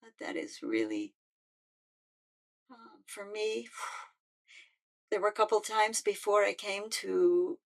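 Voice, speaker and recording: Gravelly older woman, halting. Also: medium pitch, very animated. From United States. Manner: halting and heartfelt, with frequent restarts. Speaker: musician and peace activist. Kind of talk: personal reflective talk.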